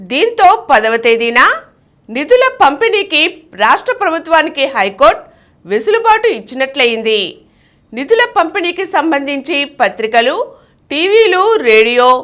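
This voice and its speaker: Female news presenter, high pitch, very animated melody, reads scripted bulletins.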